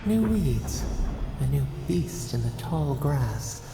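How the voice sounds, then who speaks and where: Predatory game spirit: gravelly, drawn-out cryptic phrases, cavernous echo. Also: medium pitch, sing-song, over music, heavily acted. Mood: eerie, probing. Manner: slow, cryptic. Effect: heavy reverb. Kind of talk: cryptic video game dialogue. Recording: long echoing reverb.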